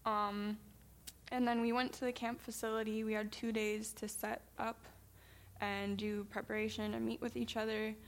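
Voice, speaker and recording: Chatty young American woman, slightly creaky. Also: fairly high pitch, little pitch movement, normal speed. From United States. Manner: chatty, many ums, laughs while talking. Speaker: missionary reporting back. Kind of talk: casual storytelling presentation.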